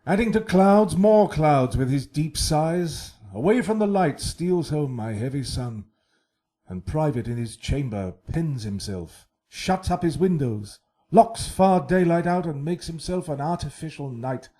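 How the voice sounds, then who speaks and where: Grave, anxious Verona lord; Shakespearean radio play, expressive and soft-toned. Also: male voice, fairly high pitch, measured pace. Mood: troubled, grave. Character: grave, worried father, noble lord of Verona. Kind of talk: classical Shakespearean radio acting.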